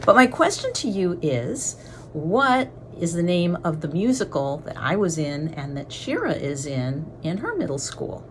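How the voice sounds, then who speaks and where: A woman, a children's author, talking casually to young readers about books and trivia. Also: lowish voice. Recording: faint background noise.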